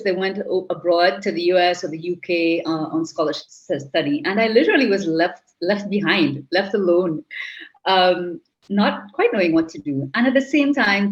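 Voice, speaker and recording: Trinidadian woman, thoughtful interview guest. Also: fairly low pitch. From Trinidad and Tobago. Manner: reflective, with frequent restarts. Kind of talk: interview conversation. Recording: slightly muffled audio.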